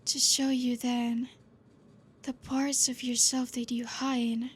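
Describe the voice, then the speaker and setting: Woman doing a possessive vampire teacher, ASMR, soft and breathy, very slow and even, gently teasing. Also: on the high side. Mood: tender possessive. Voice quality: soft, breathy, half-whispered. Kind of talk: ASMR roleplay.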